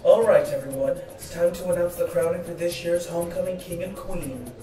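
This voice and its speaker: A man's voice: high-pitched, a little fast, dark tone, faint music behind, close to an everyday voice. Echoey, distant school dance announcer acted by a man. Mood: announcing. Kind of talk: public announcement in audio drama.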